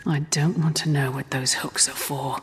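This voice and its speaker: Woman playing low, husky explorer, heavy reverb. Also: fairly even intonation, normal speed, slight background noise, natural voice. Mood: musing. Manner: musing, observational. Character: curious, musing female explorer of ancient ruins. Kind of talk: video game voice acting. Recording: long echoing hall reverb.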